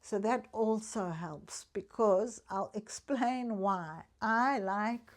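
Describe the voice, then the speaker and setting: Older woman, mid-pitched, unhurried, candid chatty vlogger. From South Africa. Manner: chatty and candid, talks frankly about money. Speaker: retiree vlogger. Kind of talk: casual personal vlog.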